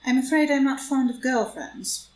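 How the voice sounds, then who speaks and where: Lady playing an arch menacing society schemer, kinda high voice, stage play read in an echoey room. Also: moderate pace, lightly acted. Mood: arch, amused. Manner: arch, taunting, theatrical delivery. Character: scheming, amused, menacing society woman blackmailer. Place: indoor room, live group reading. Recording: roomy live group reading, faint noise.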